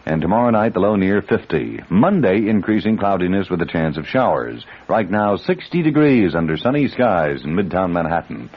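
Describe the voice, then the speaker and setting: An American man reading scripted radio news in a formal, measured announcing manner. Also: low voice, sing-song, slightly rough. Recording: dull vintage radio broadcast recording.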